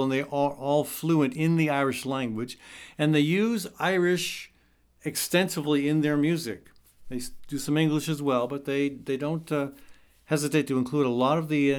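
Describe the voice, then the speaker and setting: Male radio host, slightly high voice, casual rambling commentary. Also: clear, resonant tone. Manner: rambling, with frequent fillers and restarts. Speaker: radio talk show host.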